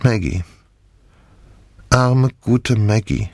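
A man's voice: mid-range voice, normal speed, no room sound, voice pushed up, lightly acted. A man reading an audiobook, voicing a shocked, weary young woman in a lifeless, resigned manner. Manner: lifeless, flat delivery. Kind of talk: audiobook dialogue.

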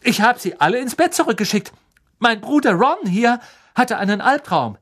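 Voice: mid-range voice, sing-song, a little fast, pitched above the performer's own voice. A reader voicing a pompous teenage head boy in a storybook, bossy and self-important. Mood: officious.

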